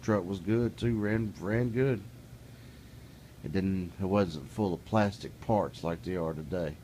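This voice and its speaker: A man's voice: low voice, measured pace, warm tone, slight background noise. A middle-aged man talking casually to camera in a plainspoken, folksy way, building into an exasperated rant. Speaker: vlogger. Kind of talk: casual ranting monologue.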